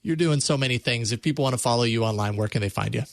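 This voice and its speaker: A man hosts a tech show in a casual, conversational style. His voice sits at a medium pitch and his phrasing halts and restarts as he thinks. The sound is close and dry, with little room in it. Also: rapid-fire, gravelly, no echo. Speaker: podcast host.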